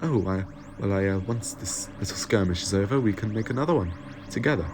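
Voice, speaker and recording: A man's voice: on the low side, expressive, a little fast, noisy background, close to an everyday voice. A man voicing an eccentric, kindly and distracted alien time traveller in an audio story. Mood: awkward, kindly.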